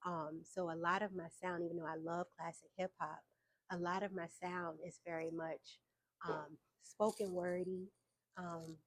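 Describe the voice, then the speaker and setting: A young adult woman, telling her story as an interview guest in a casual, relaxed way with fillers and easy laughs. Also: fairly low pitch, moderate pace. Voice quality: soft voice. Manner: relaxed, frequent fillers, laughs between points.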